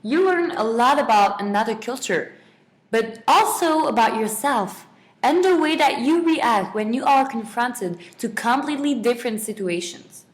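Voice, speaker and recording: A woman's voice: on the high side, lively intonation, normal speed. A young adult woman, a student guest giving semi-prepared answers in a radio interview.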